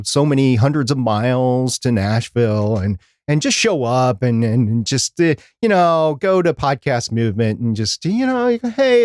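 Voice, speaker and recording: A man's voice: medium pitch, darkish tone, no echo, studio quality. A man co-hosting a podcast in a casual live-stream style, talking emphatically and repeating phrases for emphasis. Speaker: podcast co-host.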